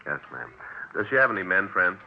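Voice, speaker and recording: An actor playing a polite, plain-spoken American police detective in a 1950s radio drama, on a tinny, hissy recording. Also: male voice, deep voice, sing-song, brisk. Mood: polite, inquiring. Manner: courteous, brief questioning.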